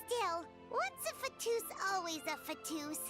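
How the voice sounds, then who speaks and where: A tiny fairy sidekick from a video game, with a very high, childlike squeak. The delivery swings widely, exclamatory and suspicious, with music playing behind. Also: female voice, sing-song, measured pace, heavily acted. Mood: wary, curious. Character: tiny excitable floating fairy girl companion, wary and chatty. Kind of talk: English video game dub acting.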